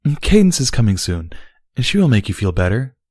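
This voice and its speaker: A man voicing a female immortal pony princess, a formal and dutiful younger sister who argues in measured, stilted diction in a storybook reading. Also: mid-range voice, very animated, a little mellow, no room sound, clean studio sound, pitched above the performer's own voice. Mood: reassuring.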